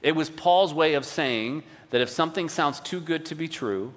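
A man's voice: fairly high pitch, normal speed. A man preaching as a pastor in a conversational, explanatory way, often checking in with rhetorical questions. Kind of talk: sermon, teaching from scripture in a conversational way. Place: large indoor hall, speaking to a congregation. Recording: long reverb of a large hall.

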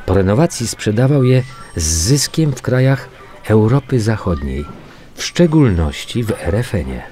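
An actor playing a matter-of-fact, weary male police investigator in a radio drama, muttering and repeating himself haltingly. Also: lowish voice, big pitch swings, dark tone. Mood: wry, businesslike. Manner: halting, muttering repetitions while reading out reports. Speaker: actor in an audio crime drama. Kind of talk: naturalistic radio drama dialogue.

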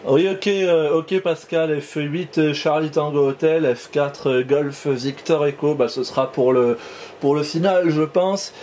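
A man's voice: on the high side, steady tone, unhurried, darkish tone, a little hiss or hum. A man chatting casually as an amateur radio operator, hesitantly, often repeating and trailing off in his phrases. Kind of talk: casual radio contact chat.

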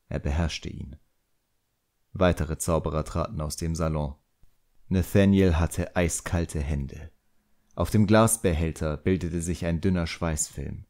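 A man's voice: rumbling low, a little slow, a little mellow. A man reading a fantasy novel as scripted audiobook narration.

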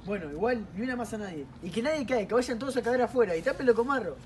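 Man as high-voiced bossy Argentine military leader. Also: animated, fast. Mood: commanding. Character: bossy male leader of hidden soldiers, giving strict orders. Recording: clear background noise.

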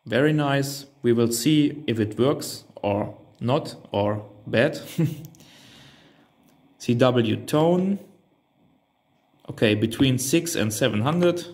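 Man, German native speaker, slow pace, mid pitch, thinking aloud, hands-on product demo. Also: darkish tone. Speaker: reviewer demonstrating a radio transceiver. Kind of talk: unscripted hands-on product walkthrough.

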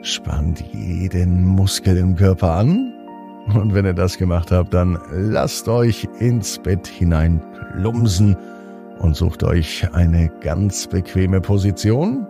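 A man narrates a children's bedtime story as a podcast host, gently and expressively, drawing out words. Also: deep voice, slow pace, creaky, over music.

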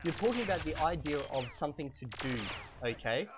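A man's voice: a little high, background noise. Chatty Australian man teaching over gameplay. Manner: chatty, animated, drawn-out slow spelling of words. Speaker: language teacher and gamer. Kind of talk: casual lesson over gameplay. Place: home room, webcam. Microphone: cheap desk microphone.

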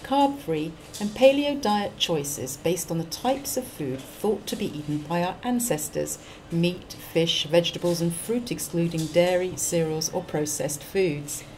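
A woman reporter narrates a local news segment in a brisk, light-hearted way. Her voice sits a little low, and a faint hum of noise runs under it. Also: darkish tone, low-quality recording.

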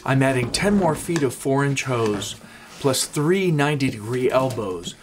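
Steady man explaining in a workshop. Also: medium pitch, measured pace, background noise. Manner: steady, explanatory, gestures while talking. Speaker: woodworking instructor. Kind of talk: scripted instructional explanation. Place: small home workshop, indoors.

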